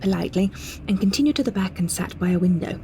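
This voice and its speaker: A woman performing an audio drama monologue as an older woman wryly recalling her childhood, in a measured, precise, slightly husky voice with deliberate pauses. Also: mid-range voice, lively intonation, dark tone, slight background noise, natural voice, rough recording. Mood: wistful, confiding. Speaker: monologue narrator. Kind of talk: scripted first-person audio drama narration.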